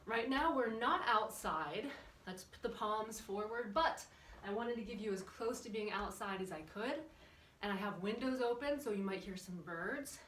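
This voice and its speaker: A woman's voice: on the high side, fairly bright tone, echoey room. Woman, children's fitness instructor, encouraging, question-filled delivery, distant microphone, some background noise, indoor room. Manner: chatty, encouraging, asks the audience questions. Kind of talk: instructional exercise class for kids, addressing viewers. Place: indoor room with windows open. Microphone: camera at a distance.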